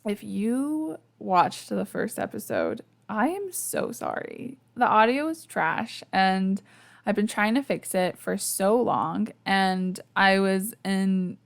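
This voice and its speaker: A woman's voice: medium pitch, animated, slow pace, creaky. A young woman hosting her own podcast in a casual, chatty, self-deprecating way, sleepy and losing her voice, laughing often between lots of fillers. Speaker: podcast host. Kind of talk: casual solo podcast chat. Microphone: podcast microphone.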